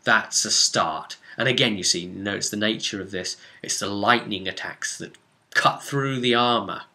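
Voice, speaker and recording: Bright-voiced man recapping a tabletop game. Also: on the low side, moderate pace. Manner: conversational, explanatory, with dramatic asides. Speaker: game master. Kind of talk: casual recap of a tabletop role-playing session.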